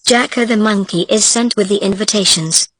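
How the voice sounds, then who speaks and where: A woman reads brief summaries of each scene in a storybook, keeping her delivery even and matter-of-fact. Her voice sits at a middle pitch, and the recording is dry and close. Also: normal speed, no echo, low-quality recording. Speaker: caption reader.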